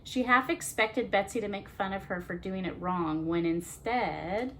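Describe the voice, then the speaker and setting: A woman's voice: mid-range voice, unhurried, fairly bright tone, rough recording. Woman reading a children's story, faint noise. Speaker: children's storytime reader and host. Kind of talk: expressive storybook narration with casual asides.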